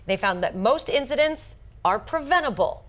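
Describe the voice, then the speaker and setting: A woman's voice: fairly high pitch, lively intonation, normal speed. A woman anchoring a morning TV newscast, reading scripted stories with polished, emphatic delivery. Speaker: news anchor. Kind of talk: scripted morning news broadcast.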